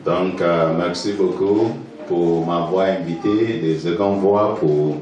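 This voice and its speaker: A man from Ghana with a Ghanaian accent, a native English speaker, preaching as a pastor in halting, hesitant second-language speech, pausing for an interpreter. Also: sits low, darkish tone, highs cut off. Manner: halting, hesitant French with fillers. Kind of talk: sermon with pauses for interpreter. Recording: echoey church hall from far off, faint noise.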